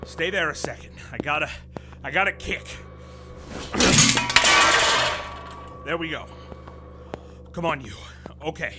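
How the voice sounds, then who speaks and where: A man's voice: a little high, expressive, slow, pauses often, very noisy. An actor playing a harried male vice president on the run, sarcastic and exasperated. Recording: audio drama with music bed.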